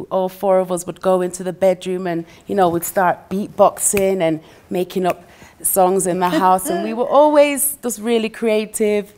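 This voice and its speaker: Chatty Manchester woman, lowish voice. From England. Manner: warm, chatty, laughs between phrases, uses "you know" a lot. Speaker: guest musician. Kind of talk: relaxed interview chat.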